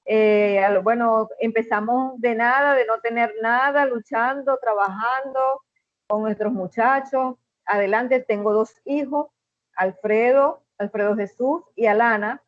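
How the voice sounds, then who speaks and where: A middle-aged woman with a Venezuelan accent, a guest in an interview, telling a personal story in an earnest, measured way with many "este" fillers. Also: a little high, fairly even intonation, dry recording, muffled recording. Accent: Venezuelan Spanish.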